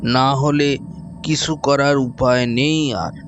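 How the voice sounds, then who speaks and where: A man playing an old, dying village father in an audio story, solemn and pausing. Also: mid-range voice, steady tone, muffled recording, lightly acted. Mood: solemn, fatherly. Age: older.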